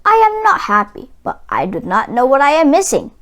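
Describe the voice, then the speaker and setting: A woman voicing a lonely, gentle young cowherd man, wistful and soft-spoken, in a children's storybook. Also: high-pitched, huge pitch swings, fairly quick, full character voice. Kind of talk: storybook read-aloud for children.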